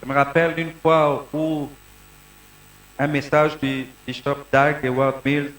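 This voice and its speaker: Preacher man pausing for his interpreter. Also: on the high side, flat delivery, fairly bright tone, echoey room, rough recording. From Congo (DRC). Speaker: pastor. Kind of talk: sermon with live interpretation.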